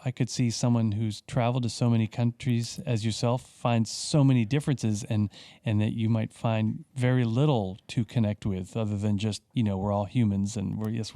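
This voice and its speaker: Mellow friendly radio host, a man. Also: fairly low pitch, steady tone, dry recording. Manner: friendly, conversational, slightly halting. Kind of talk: radio studio interview. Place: radio studio.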